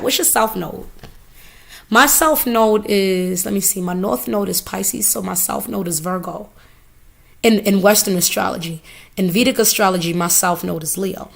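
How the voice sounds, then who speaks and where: A hoarse woman giving a live oracle card reading, talking casually with swearing and repeated phrases. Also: medium pitch, fairly bright tone. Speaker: spiritual reader.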